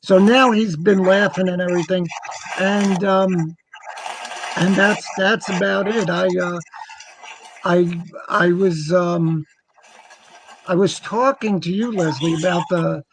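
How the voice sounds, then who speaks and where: A man's voice: high voice, fairly even intonation, slow, long pauses, background noise. A man from New York, a comic radio co-host, telling rambling, joking anecdotes in casual chat. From New York, United States.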